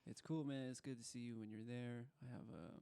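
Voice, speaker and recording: The guy behind the mic on a homemade late-night chat show, mellow, slangy and laid-back, with a fry in his throat. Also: medium pitch, steady tone, fairly quick. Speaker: podcast host. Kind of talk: casual chat interview.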